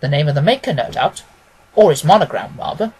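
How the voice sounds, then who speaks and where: A man voicing a mild, puzzled gentleman doctor in an audiobook, earnest and formal as a loyal companion. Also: on the high side, lively intonation, a little fast, breathy edge. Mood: tentative. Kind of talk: dramatised audiobook reading.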